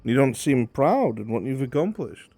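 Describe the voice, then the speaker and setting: A man's voice: medium pitch, sing-song, normal speed, dark tone, no room sound. A man playing a terse, authoritative monster-hunting inquisitor in an improvised fantasy roleplay. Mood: grave, measured. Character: commanding, grave monster-hunting inquisitor, military superior.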